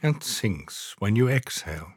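A man guiding a scripted relaxation meditation in a calm, soothing, measured way, leaving long pauses. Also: on the low side, slightly rough, no echo, clean studio sound. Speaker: meditation guide, podcast host. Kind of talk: guided yoga nidra relaxation meditation, scripted narration.

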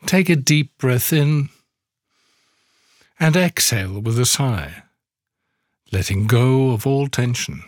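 A man leading a guided yoga nidra meditation, giving soothing instructions slowly with long pauses. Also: medium pitch, lively intonation, no echo, clean studio sound.

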